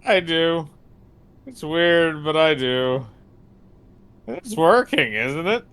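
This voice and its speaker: A woman's voice: sits low, lively intonation, slow, clear, resonant tone. Woman acting out a melodramatic, wildly swooping male captive. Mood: earnest, pleading. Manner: pleading, ranting, melodramatic. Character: desperate, sarcastic limbless male captive in horror game.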